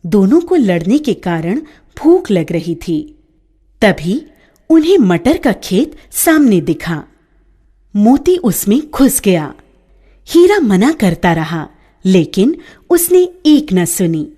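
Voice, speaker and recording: A woman narrating a children's story in a scripted storybook style, with an expressive, lilting delivery. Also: mid-range voice, takes it slowly, husky.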